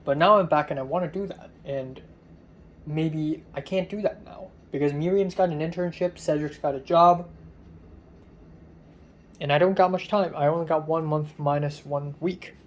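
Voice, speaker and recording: A young man with a somewhat high, raspy, tired voice rambles casually to the camera, his words halting with frequent ums and drifting between soft and louder. He talks from a quiet hotel room, and a faint hiss of noise sits under the recording. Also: steady tone. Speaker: vlogger. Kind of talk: casual rambling vlog monologue.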